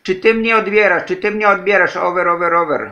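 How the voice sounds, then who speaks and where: Guy on ham radio, high sharp voice, says everything twice, chatty operator talk. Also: fairly even intonation, fairly quick, dull audio. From Poland. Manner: repeats phrases. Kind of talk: casual amateur radio contact. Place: indoors, radio desk in a flat.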